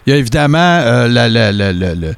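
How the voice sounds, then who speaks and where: A man's voice: mid-range voice, expressive, fast talker, clean tone, dry recording. Québécois man hosting an interview. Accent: Québécois French. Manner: polite, formal with the guest. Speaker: podcast host. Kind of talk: interview questions.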